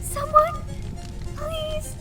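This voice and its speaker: A woman voicing a terrified young captive pleading for help in a comic-book drama, under loud music. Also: very high voice, completely flat delivery, extremely slow, exaggerated voice.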